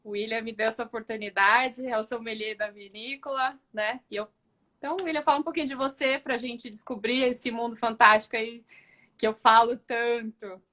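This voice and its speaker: A woman's voice: on the high side, steady tone, normal speed, pushed and bright. A woman hosting a casual live interview, effusive and enthusiastic as she chats with her guest. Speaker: live stream host.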